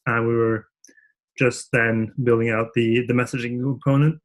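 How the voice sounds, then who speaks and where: A man from Norway talks as a guest on a tech podcast. His pitch sits at an ordinary male level, and his answers come in a relaxed, thoughtful flow broken by frequent ums and restarts. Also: moderate pace. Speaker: engineering director, guest. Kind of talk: podcast interview.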